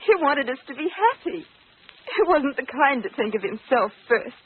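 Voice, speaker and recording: A woman's voice: high-pitched, lively intonation, unhurried. An actress playing a proud, resolute young woman in mourning, earnest and firm, in 1940s radio style. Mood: defensive. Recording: tinny, noisy old radio recording.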